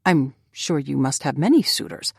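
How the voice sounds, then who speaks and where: A woman reading as a polite gentleman in a storybook, courteous and slightly flustered. The voice sits low and dry. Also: lively intonation, normal speed, vocal fry, breathy edge, no room sound, exaggerated voice. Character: polite, apologetic young male gentleman.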